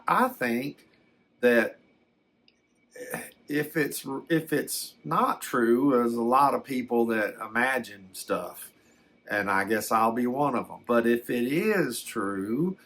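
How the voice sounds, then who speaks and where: A mature man with a medium-pitched voice tells a personal story without a script. He speaks slowly and reflectively, often restarting as he searches for the right words. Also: fairly bright tone. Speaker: storyteller recounting a personal experience. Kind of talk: unscripted personal recollection.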